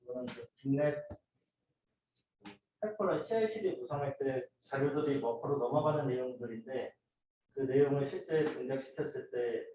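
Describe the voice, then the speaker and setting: A man giving an informal, somewhat halting student project presentation, explaining slides and a demo step by step. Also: mid-range voice, mellow. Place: indoor room, voice picked up from a distance. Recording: very echoey room, distant mic, noisy.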